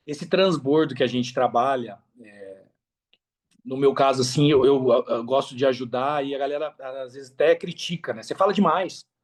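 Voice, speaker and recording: A Brazilian man talking casually and earnestly on a podcast, repeating phrases for emphasis in a motivational way. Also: medium pitch. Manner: earnest, repetitive for emphasis, conversational.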